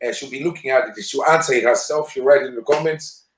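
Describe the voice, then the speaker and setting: A man's voice: mid-range voice, fast, slight background noise. A man hosting a casual interview show, upbeat and promotional, repeating calls to action to viewers. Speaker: show host. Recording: roomy echo.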